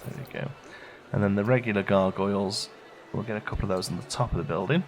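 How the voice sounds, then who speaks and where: A man giving casual, low-key gameplay commentary as a video host, thinking aloud and muttering to himself as he works. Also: fast talker. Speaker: let's play video host.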